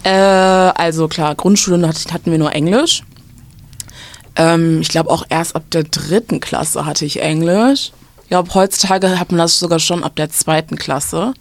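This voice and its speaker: A woman's voice: on the low side, unhurried, no room sound. A young woman from western Germany giving casual school advice, explaining at length with many fillers and restarts.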